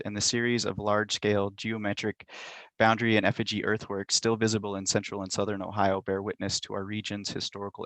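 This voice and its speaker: A middle-aged man giving a measured, thoughtful academic talk over a video call, with frequent ums and uhs. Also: fairly low pitch, gravelly, fairly bright tone, no echo. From Montana, United States. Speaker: university lecturer. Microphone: computer mic on a video call.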